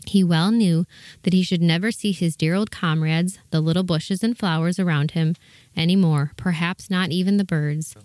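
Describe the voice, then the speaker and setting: A woman's voice: lowish voice, steady tone, normal speed, darkish tone, no room sound. A woman reading scripted storybook narration as the narrator of a fairy tale.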